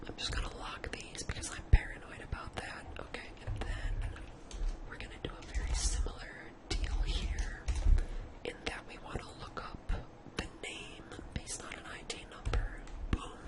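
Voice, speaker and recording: Whispered, casual ASMR tutorial voice. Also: moderate pace. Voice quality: breathy unvoiced whisper. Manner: casual, thinking aloud while working. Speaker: host of an ASMR spreadsheet tutorial.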